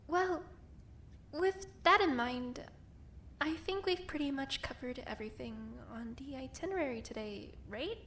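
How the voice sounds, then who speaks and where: A woman voices a cheerful young woman who keeps a group meeting on track in a fan-made audio drama. Her voice is very high and bright, and she talks in a peppy, organized way with a touch of nerves. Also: expressive, unhurried, slight background noise. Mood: upbeat. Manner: peppy, organized, a little nervous.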